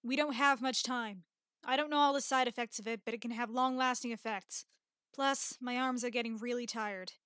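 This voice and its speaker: A woman playing a brisk, capable young female sword fighter in a fantasy game audiobook, speaking in a matter-of-fact way. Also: a little high, steady tone, fairly bright tone, smooth, dry recording, clean studio sound, lightly acted. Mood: urgent. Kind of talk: audiobook character dialogue.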